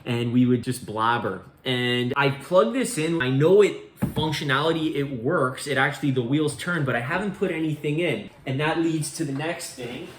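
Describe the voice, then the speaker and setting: A man's voice: on the high side, moderate pace. A man in his thirties from Canada, chatting in a playful, teasing way while showing old things as co-host. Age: 30s. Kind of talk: casual show-and-tell chat. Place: family home or garage. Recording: echoey room with faint noise.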